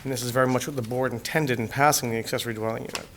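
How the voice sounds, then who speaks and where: A man presenting an application as an attorney to a town board, measured and methodical, his voice hoarse from a cold. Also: medium pitch, steady tone. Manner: measured, methodical, with frequent "uh" fillers. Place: town meeting room. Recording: noisy public-access meeting recording.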